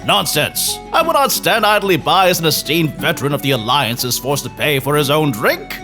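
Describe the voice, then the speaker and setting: A man playing an effusively friendly, deferential gentleman hunter in a fantasy audio drama, chatty and flowery in speech. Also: fairly high pitch, animated, normal speed. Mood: effusive, ingratiating. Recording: voice over background music.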